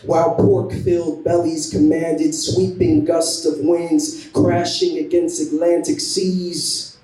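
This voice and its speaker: A man's voice: a little high, level tone, takes it slowly, warm tone. A man giving a solemn recital of spoken word poetry. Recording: echoey room, distant microphone.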